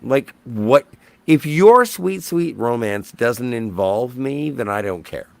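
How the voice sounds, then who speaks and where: A man's voice: medium pitch, expressive, slow, vocal fry, darkish tone, no echo. A man hosting a casual panel chat, blunt and rambling, with a jokingly self-important streak. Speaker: show host.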